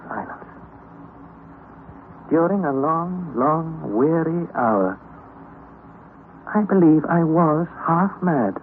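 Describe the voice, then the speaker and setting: An earnest young explorer narrating. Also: male voice, fairly high pitch, expressive, slow, pauses often. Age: young adult. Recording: tinny old radio broadcast, faint hiss.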